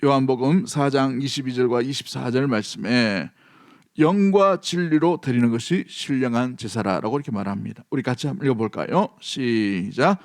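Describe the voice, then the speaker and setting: A man's voice: mid-range voice, normal speed. A man from South Korea preaching a sermon as a pastor, emphatic, repeating key phrases and putting questions to his congregation.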